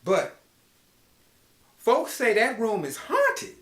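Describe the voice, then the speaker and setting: A man's voice: extremely high pitch, huge pitch swings, extremely slow, fairly bright tone, echoey room, voice pushed up, full character voice. A man voicing a polite, cautious saloon owner giving a warning. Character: cautious old-west hotel owner.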